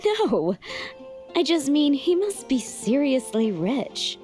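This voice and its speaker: A woman's voice: high-pitched, sing-song, slow, a little mellow, exaggerated voice. A woman voicing a wry, confident female tavern boss in a video game, playful and teasing. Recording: music playing behind the voice.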